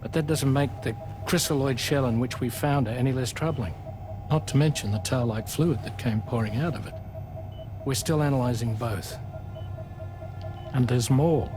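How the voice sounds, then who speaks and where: An actor playing a grave, analytical scientist-medic in a sci-fi video game, speaking precisely. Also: male voice, medium pitch, normal speed, lightly acted. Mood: grave, explanatory. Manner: precise, explanatory. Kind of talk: video game cutscene acting.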